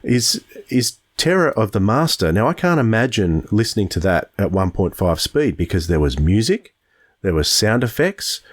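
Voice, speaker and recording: A man's voice: on the low side, big pitch swings, moderate pace, slightly rough. A man with an Australian accent, hosting a casual podcast discussion. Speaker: podcast host.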